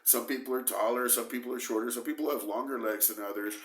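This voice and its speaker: A middle-aged man vlogging to the camera about his training, hoarse from a cold and talking in emphatic, repetitive bursts. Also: medium pitch, steady tone, quick pace. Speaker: bodybuilding vlogger. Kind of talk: casual talk to camera. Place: small dim room indoors. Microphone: camera close at face, moving. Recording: roomy echo.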